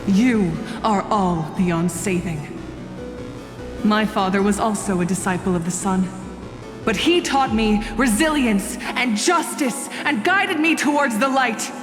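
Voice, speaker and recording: An actress playing a proud, righteous young warrior in a video game, declaiming in a commanding, formal way. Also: female voice, mid-range voice, takes it slowly, lightly acted. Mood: bitter, scornful. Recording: echoey hall, music behind.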